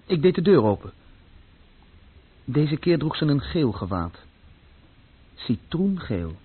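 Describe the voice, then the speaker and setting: A man's voice: fairly high pitch, very animated, slow pace, slightly rough, exaggerated voice. An actor playing a troubled, plain-spoken young German man who recounts his past in short matter-of-fact sentences in a radio play. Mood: subdued, uneasy. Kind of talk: radio play first-person narration. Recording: dull lo-fi old radio play recording.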